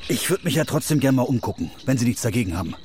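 A man's voice: medium pitch, expressive, racing, a little mellow, slight background noise, close to an everyday voice. A man playing a matter-of-fact, kindly police officer in a children's radio drama. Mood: measured. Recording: studio radio play with music underneath.

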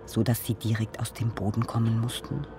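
A man reciting literary prose for a radio sound piece in a breathy, often half-whispered voice, halting phrase by phrase. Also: mid-range voice, fairly even intonation, distant mic. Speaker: reader of poetic prose in an audio piece. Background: music.

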